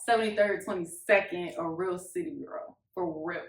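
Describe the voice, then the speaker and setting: A woman's voice: lowish voice, fairly even intonation, normal speed. Young American woman in an echoey room. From Miami, Florida, United States. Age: 20s. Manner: informal, storytelling, slangy. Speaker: YouTube couple vlogger. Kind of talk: casual chat to camera.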